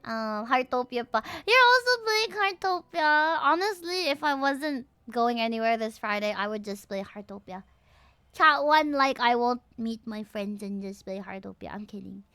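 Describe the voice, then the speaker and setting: A woman's voice: on the high side, animated, normal speed, bright, forceful tone, smooth, dry recording. A woman with a Filipino accent chatting casually and playfully as she streams a game and reacts to her viewers. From Philippines. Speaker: livestreamer. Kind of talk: casual livestream chat over a game, switching to reading the screen. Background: music.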